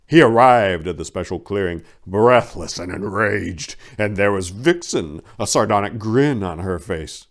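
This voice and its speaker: A man's voice: fairly low pitch, expressive, unhurried, hardly any pauses. A man in his forties giving a theatrical, emphatic, mock-serious dramatic reading of a story. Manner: theatrical, emphatic, mock-serious with big swells and hushed drops. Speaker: reader. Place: small indoor room lined with bookshelves. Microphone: lapel microphone.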